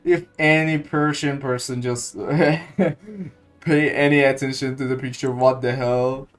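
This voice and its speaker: A man's voice: mid-range voice, steady tone, slow pace, soft background music. A man commenting casually on gameplay, hesitant and repeating words as he thinks aloud. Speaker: gaming streamer.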